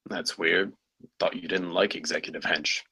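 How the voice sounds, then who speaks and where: A man playing a skeptical, blunt colleague in a screenplay table read, with a rough edge to his voice. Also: sits low, steady tone, moderate pace, bright, forceful tone, no room sound, heavily acted. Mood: wry. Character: skeptical, blunt male colleague named John, unimpressed.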